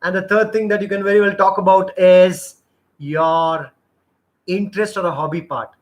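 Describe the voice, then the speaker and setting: A man's voice: high voice, fairly even intonation, normal speed. A middle-aged man, coaching job seekers in a live session, earnest and rambling, with many restarts and fillers. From India. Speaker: interview trainer.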